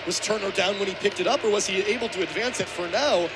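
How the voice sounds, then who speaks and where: A man's voice: high-pitched, fast talker. A man in his twenties with an American accent, calling live football play-by-play on the radio. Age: 20s. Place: outdoor college football stadium. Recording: outdoor stadium broadcast, ambient background noise.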